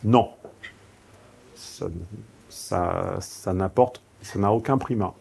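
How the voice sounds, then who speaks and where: A man's voice: low voice, slow pace, gravelly, a little mellow, husky. Frank, salty-tongued seminar speaker man. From southeastern France. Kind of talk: seminar talk.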